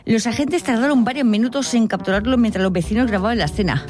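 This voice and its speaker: A woman's voice: fairly high pitch, fast, a little mellow, soft background music. Playful woman doing a mock news report. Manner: playful, hesitant, many fillers.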